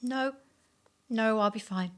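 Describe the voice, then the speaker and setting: A woman playing a cautious, polite supervising examiner in a stage play, speaking in measured official phrases with quiet firmness. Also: on the high side. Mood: upset, pleading.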